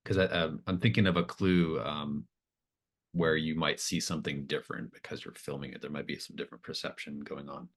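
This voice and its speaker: A man's voice: extremely low pitch, little pitch movement, a little fast. A man acting as game master, improvising narration for a tabletop game in a hesitant, thoughtful, informal way.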